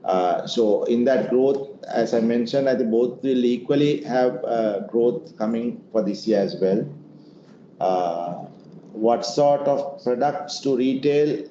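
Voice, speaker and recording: A man's voice: medium pitch, takes it slowly, dark tone. A man answering investor questions as an executive leading an earnings call, in a semi-formal way. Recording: muffled, echoey room with noise.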